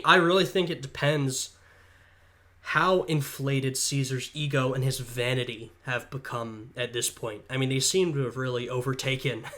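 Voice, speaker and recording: Man, congested, casual reaction commentary, thinking aloud, hedging, mid pitch. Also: a bit bright. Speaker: history student reacting to a video.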